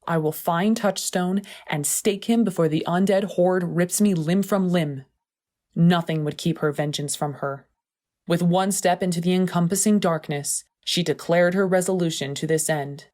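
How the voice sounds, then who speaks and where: A woman reading scripted fiction as a measured, dramatic audiobook narrator. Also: lowish voice, a little mellow.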